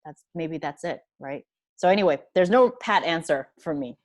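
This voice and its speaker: A woman's voice: low-pitched, lively intonation, moderate pace, a little hiss or hum. A woman from Hong Kong answering as a panelist in a discussion, earnest and thoughtful, with frequent fillers and restarts.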